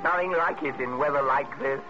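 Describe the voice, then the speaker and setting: A man acting a sly, taunting captain in a 1940s radio play, talking smoothly and sardonically. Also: a little high, brisk, music in the background, natural voice. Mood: gloating. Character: sly, gloating male house guest, a retired captain. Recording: muffled, noisy 1940s radio recording.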